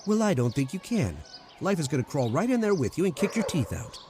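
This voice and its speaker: A man's voice: fairly high pitch, very animated, fairly quick, dark tone, slight background noise. An actor playing a breezy, blunt, wisecracking man in an old-time radio drama. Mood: blunt, challenging.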